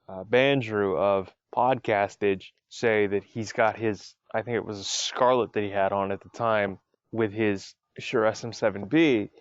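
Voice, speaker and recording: A man's voice: medium pitch, no room sound, lo-fi sound. A man hosting his own podcast, giving his opinions in a casual, chatty way with many asides. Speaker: podcast host. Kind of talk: casual solo podcast talk.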